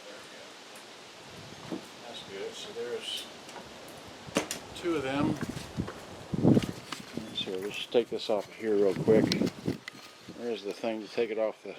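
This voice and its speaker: A man's voice: on the low side, slow, pauses often. Man muttering in a noisy, roomy recording. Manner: rambling, muttering to himself as he works. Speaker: vlogger showing vintage electronics. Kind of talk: casual unscripted unboxing demonstration. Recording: roomy, distant mic, loud background noise.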